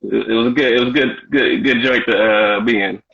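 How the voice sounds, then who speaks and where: A bright, husky-edged male voice tells loose, slangy stories full of "you know" and "man". He is a stand-up comedian from Washington, D.C., in his thirties, talking as a guest. The audio is dull and lo-fi. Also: medium pitch, steady tone, fairly quick. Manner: laid-back slangy storytelling with frequent "you know". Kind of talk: casual interview chat.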